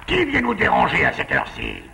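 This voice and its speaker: A man voicing a scheming, bossy forest-animal villain in a French cartoon, sneering and theatrical with a gravelly voice. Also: rumbling low, huge pitch swings, a little fast, in a large hall, noisy background, heavily acted. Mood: irritated, bossy. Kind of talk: French-dubbed cartoon acting.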